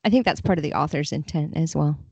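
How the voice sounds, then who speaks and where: A woman's voice: low voice, fast talker, gravelly, darkish tone, slightly rough, no echo. A woman chatting informally and enthusiastically about fiction as a podcast guest. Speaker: podcast guest, literary translator. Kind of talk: casual chat about fiction.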